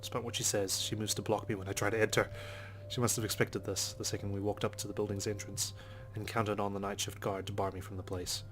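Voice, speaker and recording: A man's voice: lowish voice, flat delivery, fast, few pauses, fairly bright tone, slight background noise. A man reading a visual novel's narration aloud as a let's play host. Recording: game music behind the voice. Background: game music.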